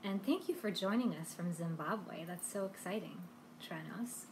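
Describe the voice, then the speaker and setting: A woman's voice: fairly low pitch, normal speed, a little hiss or hum, poor audio. A woman hosting a language lesson live stream, talking in a casual, chatty and encouraging way.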